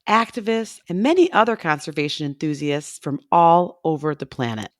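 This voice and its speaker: A woman with a lowish voice reads a scripted podcast promo in the manner of a co-host and educator. The sound is dry, with no room on it. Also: animated, normal speed, no room sound.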